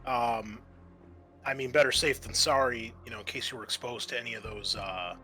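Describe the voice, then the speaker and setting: A man plays an evasive spaceship scientist in a sci-fi tabletop game. He has a bright, mid-pitched voice and speaks hesitantly, placating whoever listens. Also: fairly even intonation, moderate pace, soft background music, lightly acted. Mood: coaxing. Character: evasive male spaceship scientist pushing a cure.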